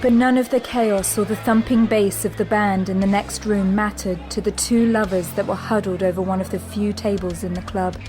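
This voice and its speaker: Female narrator for an audio drama, measured and descriptive, music bed clearly under her voice. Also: medium pitch, fairly even intonation, darkish tone. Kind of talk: scripted narration.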